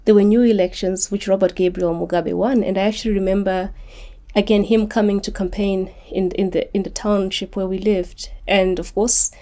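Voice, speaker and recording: Woman, late 30s, author guest, warm mellow tone, earnest and articulate. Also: mid-range voice. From Zimbabwe. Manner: articulate, earnest, often repeats words when restarting. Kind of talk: reflective interview answers.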